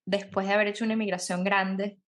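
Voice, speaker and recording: A woman hosting an interview podcast, lively and affirming as she draws out her guest. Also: mid-range voice, fast talker. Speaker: podcast host. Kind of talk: casual interview conversation.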